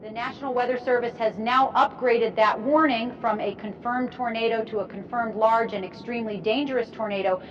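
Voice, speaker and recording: A woman's voice: a little high, fairly even intonation, fairly quick, few pauses, lo-fi sound, slight background noise, lightly acted. A woman playing a TV meteorologist who explains and instructs in live severe weather commentary. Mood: serious, urgent. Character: female TV meteorologist giving urgent tornado coverage. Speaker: weather broadcaster.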